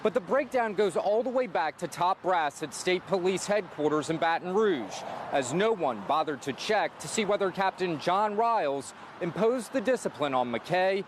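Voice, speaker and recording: A man's voice: on the high side, slight background noise. A man with an American accent reading a scripted investigative news report as a TV reporter.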